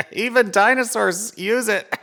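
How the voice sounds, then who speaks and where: A man's voice: high-pitched, slow pace, pushed and bright. A man reading a picture book aloud to young children as a playful storytime host, talking to them like friends. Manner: playful, chuckling, addressing young listeners as friends.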